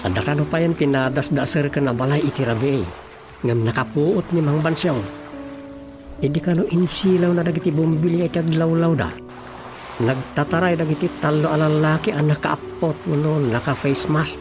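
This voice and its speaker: A man's voice: fairly high pitch, steady tone, normal speed, close to an everyday voice. Man acting an earnest Ilocano village son. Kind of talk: Ilocano radio drama acting. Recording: radio drama over clear music bed.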